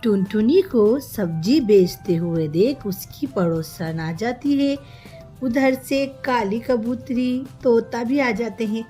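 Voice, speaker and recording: A woman's voice: fairly high pitch, slow, dark tone, soft background music. A woman narrating a scripted children's story as a storyteller.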